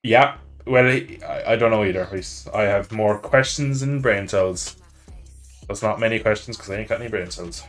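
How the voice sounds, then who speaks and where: A man's voice: on the low side, normal speed, fairly bright tone, soft background music. A man with an Irish accent chatting casually and joking while streaming a motorbike racing game. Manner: joking, rambling, self-mocking. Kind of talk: casual chat while gaming.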